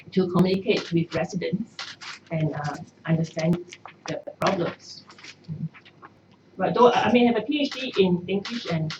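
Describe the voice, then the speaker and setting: A middle-aged woman, a native Cantonese speaker, answering press questions as a party candidate in a measured way with hesitations. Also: fairly low pitch. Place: party office press room. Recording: echoey room, far mic, dull noisy.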